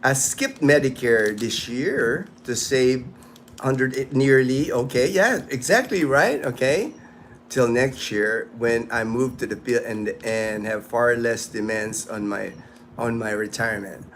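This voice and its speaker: A man's voice: mid-range voice, slow pace, fairly bright tone, slight background noise. A man in his late fifties hosting a casual livestream, chatting with viewers in a rambling, easygoing way with lots of restarts, outdoors in the sun. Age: 50s. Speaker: livestream host. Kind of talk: casual livestream chat reading viewer comments.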